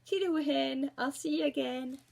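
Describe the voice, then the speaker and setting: A Scottish woman voicing a big, sly male wolf in a storybook, flattering and over-polite. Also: sits high, normal speed, vocal fry, pitched above the performer's own voice. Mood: breezy farewell.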